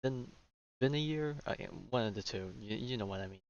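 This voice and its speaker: A man's voice: mid-range voice, brisk, a little mellow, highs cut off, slight background noise. A young man chatting casually as he streams a game, rambling and often interrupting himself. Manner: rambling, self-interrupting, informal. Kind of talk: casual livestream chat over gameplay.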